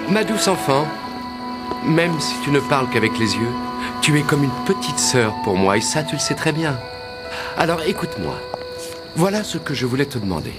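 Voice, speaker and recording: Young cartoon prince, earnest n sweet, kinda high voice that swings a lot, music behind. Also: male voice, very animated, moderate pace. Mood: tender, confiding. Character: earnest, affectionate young fairy-tale prince. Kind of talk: animated fairy-tale dubbing. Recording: music under the dialogue.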